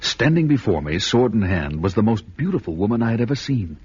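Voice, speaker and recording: A man's voice: lowish voice, very animated, a little fast, breathy edge. An actor playing a grim, bold barbarian warrior who tells his vengeance quest in a solemn, archaic, theatrical way for a fantasy radio drama. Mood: watchful. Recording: dull radio recording with loud music.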